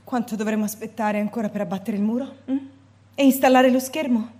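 A woman's voice: fairly high pitch, steady tone, fairly quick, mellow, natural voice. Mildred, a woman playing a languid, whiny wife. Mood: wheedling. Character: listless hungover wife, adult woman, drifting and self-absorbed. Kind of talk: radio drama acting.

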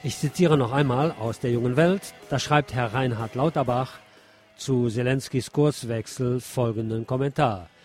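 A man's voice: medium pitch, normal speed, darkish tone, faint music behind. A man hosting a radio programme, reading press commentary and news aloud. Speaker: radio show host.

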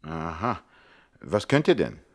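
An actor playing a cocky, charming master pickpocket in a radio play, casual, amused and self-assured. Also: male voice, deep voice, very animated, slow pace, full character voice. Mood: wry. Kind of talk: 1960s German radio play acting.

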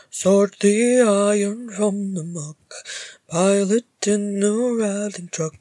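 A woman voicing a jovial, doting male dwarf warrior in a storybook, gruff and mock-solemn. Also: on the low side, a little mellow, no echo, clean studio sound. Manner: mock-solemn, playful, teasing.

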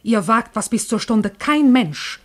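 A woman's voice: a little high, expressive, a little slow. An actress playing Poverty as a wrathful, imperious female spirit, declaiming with menace in a classic German radio play.

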